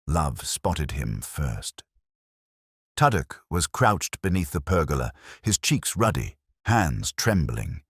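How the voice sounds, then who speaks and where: A man narrating a mystery story in a wry, measured, descriptive way. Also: a bass voice, sing-song, fairly bright tone, breathy edge, no room sound, studio quality.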